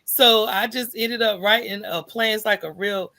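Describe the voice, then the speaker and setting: An American woman with a creaky, forceful voice speaks as a podcast guest. She tells personal stories in a loose, candid conversational style and often tags her points with a checking "right?". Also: mid-range voice, moderate pace. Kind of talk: conversational personal storytelling in an interview.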